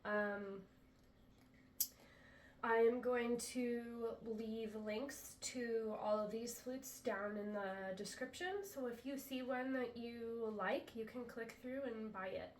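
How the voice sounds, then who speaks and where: Woman flute maker, stuffy from a cold, relaxed product demo, recorded a bit off-mic in an echoey room. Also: a little high, slow, far from the mic, slight background noise. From US Pacific Northwest. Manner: chatty, relaxed, many ums. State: congested after a chest cold. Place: indoor room, talking to camera.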